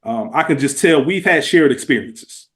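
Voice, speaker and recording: A man's voice: medium pitch, expressive, moderate pace, fairly bright tone. A middle-aged man telling stories in casual, slangy podcast chat, stressing points emphatically and repeating words as he goes. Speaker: podcast guest.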